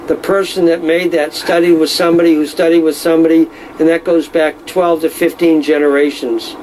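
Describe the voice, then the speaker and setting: A middle-aged man who deals in violins, speaking in a measured, knowledgeable way with frequent fillers. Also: on the high side, a little mellow, a little hiss or hum, rough recording. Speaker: violin dealer. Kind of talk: casual explanatory talk.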